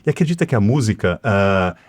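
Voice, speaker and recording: A man's voice: lowish voice, big pitch swings, a little fast, no room sound. A middle-aged Brazilian man from São Paulo with a São Paulo accent, a podcast guest telling his story fluently and conversationally, tagging his sentences with little asides. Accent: Brazilian Portuguese, São Paulo. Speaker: cybersecurity executive and guest. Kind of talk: podcast interview.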